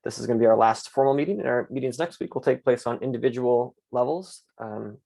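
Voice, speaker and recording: A man with a mid-range voice and a noticeable gravelly fry leads an online class. He moves through questions and course plans in an easygoing way, with plenty of "uh" and "so". He sounds like he is talking into a computer mic on a video call. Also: medium pitch, quick pace. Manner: relaxed, filler-heavy explaining. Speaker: course instructor and host. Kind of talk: online lecture and Q&A moderation.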